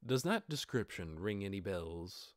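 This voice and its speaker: Low, measured lordly master, acted by a man. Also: sing-song, no room sound, clean studio sound. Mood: measured, deliberate. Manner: measured, authoritative, formal. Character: composed lordly master keeping up a commanding act. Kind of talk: audiobook character voice.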